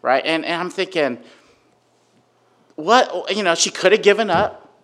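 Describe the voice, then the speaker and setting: A man giving an informal sermon with a personal story, talking conversationally and loudly, with many fillers and frequent "right?" check-ins. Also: high-pitched, lively intonation, unhurried. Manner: conversational, filler-heavy, asks "right?" often; says he has a really loud voice. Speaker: preacher.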